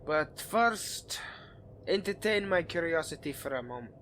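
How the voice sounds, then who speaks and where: A man's voice: fairly high pitch, animated, measured pace, a bit bright, slight background noise. A man playing a suave, scheming vampire aristocrat in a dramatic roleplay addressed to the listener, with courtly, haughty, theatrical phrasing. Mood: sympathetic, coaxing.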